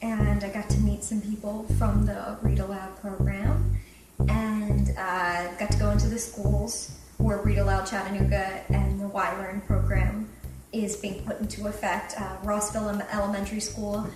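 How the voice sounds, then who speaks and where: A woman's voice: mid-range voice, level tone, normal speed, loud music behind the voice. A young woman talking casually and informally as a visiting author, recorded at a distance in a roomy space. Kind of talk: casual reflective talk and question-and-answer with schoolchildren. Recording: roomy, distant mic, some noise.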